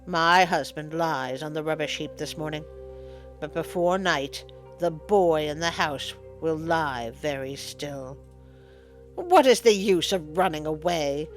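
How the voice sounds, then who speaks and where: Casting: menacing cobra widow for a storybook woman performing, lowered and hushed, slow, coldly threatening, music behind.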